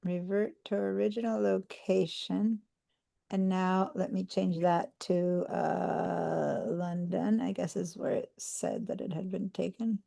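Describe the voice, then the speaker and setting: A woman's voice: on the low side, steady tone, takes it slowly, creaky, mellow. A woman from Argentina teaching an online computer class, patiently talking students through each step and checking in with them. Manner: patient, step-by-step explaining with fillers. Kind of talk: live online class demonstration.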